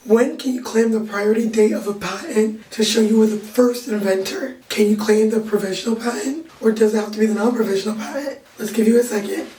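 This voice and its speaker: A young woman, a legal intern, with a raspy, hoarse voice, casually recapping her week and explaining legal points plainly. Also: fairly high pitch, a little fast, hardly any pauses, music in the background. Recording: roomy echo, distant from the mic.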